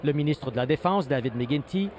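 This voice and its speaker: A man delivering a scripted news report from the field in a clear, measured way. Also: a little high, animated, darkish tone, slight background noise.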